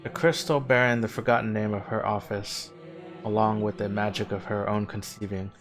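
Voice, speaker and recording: An ancient ghost man from a game, kinda low voice, formal n measured, gently knowing, bit of music under it. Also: steady tone. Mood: quietly confiding. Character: departed spirit of an ancient man, courteous, wistful, wry. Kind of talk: scripted video game cutscene acting. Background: background music.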